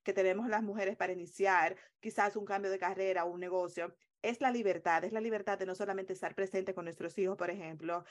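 Dominican lady coach answering interview questions, talks really fast, emphatic. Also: medium pitch, steady tone. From Dominican Republic. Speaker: business coach, interview guest.

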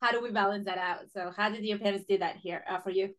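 A young woman hosts an interview, her voice sitting at an ordinary middle pitch. She asks her questions in a chatty way, often leaning on "like" and "you know". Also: steady tone, fast, fairly bright tone. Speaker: podcast host. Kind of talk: casual interview conversation.

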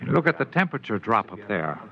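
Alien subordinate officer for old radio sci-fi: worried, deferential, expressive, dull period sound. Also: male voice, fairly low pitch, fast talker, gravelly, a crowd in the background. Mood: uneasy, alert. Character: uneasy, dutiful male alien officer reporting to his commander. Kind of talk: mid-century radio drama acting. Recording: dull vintage radio recording.

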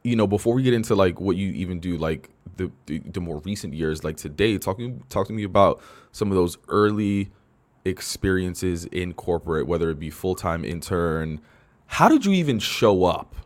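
A man's voice: low-pitched, lively intonation, normal speed. A man hosting a podcast, asking probing questions in a laid-back, slangy conversational way. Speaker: podcast host. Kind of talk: casual interview conversation.

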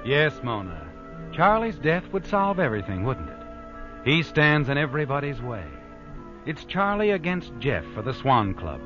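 A sly male narrator, played by an actor, taunts the listener in swooping, insinuating phrases. Music plays clearly behind his muffled, old-time radio mystery delivery. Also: medium pitch, very animated, moderate pace, natural voice. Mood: sly, taunting. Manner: insinuating second-person address, rhetorical questions. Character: sly, taunting narrator voicing a schemer's thoughts. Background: dramatic music.

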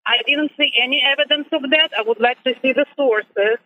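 Female caller, Ukrainian accent, lo-fi line, impassioned and halting argument. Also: high voice, dry recording. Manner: halting, emphatic, with non-native grammar. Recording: dull lo-fi call-in line.

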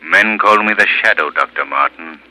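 An actor playing an invisible male vigilante in an old radio drama, questioning in a stern, measured, menacing manner. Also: sits low, bright, forceful tone, poor audio. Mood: stern, accusing. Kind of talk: 1940s radio drama acting.

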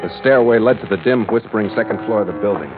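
An actor playing a tough, wry American ship's skipper in terse hard-boiled style for an old-time radio drama. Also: male voice, on the low side, fast, close to an everyday voice. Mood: tense narration. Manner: terse, wry, matter-of-fact. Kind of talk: 1940s-50s hard-boiled radio narration and acting. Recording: tinny vintage radio recording, loud music.

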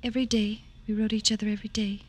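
An actress playing a gentle, grieving young woman, soft-spoken, earnest and deliberate, in old-time radio drama style. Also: mid-range voice, flat delivery, natural voice. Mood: sorrowful.

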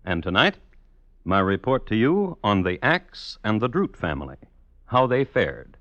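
A man hosting and narrating a scripted true crime radio show in a wry, measured, old-time manner. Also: on the low side, very animated, fairly bright tone, no echo. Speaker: host and narrator. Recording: dull old radio recording. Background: music.

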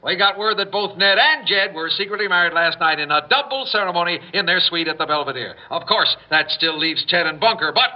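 An actor playing a breezy pop radio disc jockey in a drama, chatty and teasing, on a dull old recording. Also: male voice, high-pitched, expressive, fairly quick, few pauses. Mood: breezy, mock-mournful. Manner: chatty, teasing address to teenage girls.

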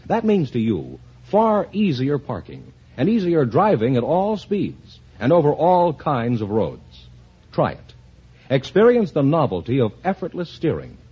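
A man's voice: medium pitch, wildly swooping pitch, measured pace, husky. An American man reading a 1950s radio advertisement with polished, emphatic salesmanship. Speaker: commercial announcer. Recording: muffled vintage radio recording.

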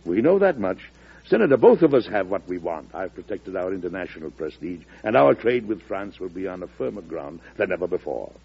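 A gravelly, genial old-time radio president. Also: male voice, low-pitched, very animated, fairly quick, exaggerated voice. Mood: confident. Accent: American. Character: genial, shrewd older male president, magnanimous and teasing. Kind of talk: 1940s radio drama acting. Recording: muffled old radio recording.

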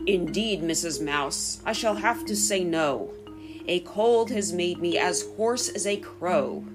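A woman reading the part of a courteous gentleman frog in a storybook rhyme, formal and measured. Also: lowish voice, lively intonation, music in the background. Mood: regretful. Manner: formal, measured verse delivery. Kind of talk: storybook verse character voice.

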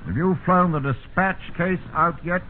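An actor playing a brisk, genial army general with an authoritative manner in a 1950s radio drama. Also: male voice, high voice, close to an everyday voice. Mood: brisk, commanding. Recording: tinny, noisy 1950s radio recording.

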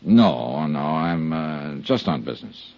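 A man's voice: extremely low pitch, expressive, slow, creaky, a little mellow, dry recording, heavily acted. An actor playing a cool, wry insurance investigator who narrates his case in a clipped, dry 1950s radio detective style. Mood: matter-of-fact. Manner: clipped, dry, matter-of-fact hardboiled delivery. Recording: dull 1950s radio recording.

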